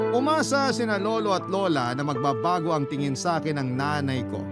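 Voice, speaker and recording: A Filipino man reading a dramatic first-person story as the narrator of a radio drama. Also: on the high side. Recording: loud music bed.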